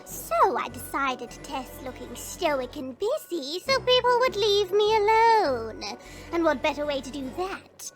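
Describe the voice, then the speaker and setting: A small old female goblin shaman in a fantasy audio drama, cheerful and chatty. The voice is high and takes its time with quaint, theatrical phrasing. Music plays behind it. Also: sing-song, measured pace, exaggerated voice. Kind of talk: fantasy audio roleplay acting.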